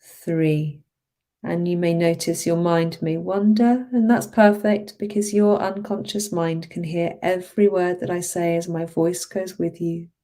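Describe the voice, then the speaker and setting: Slow, mellow woman guiding hypnosis. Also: on the low side, little pitch movement. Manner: soothing, unhurried, encouraging, with drawn-out phrases. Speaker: hypnotherapist guiding a relaxation session. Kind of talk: guided hypnosis meditation.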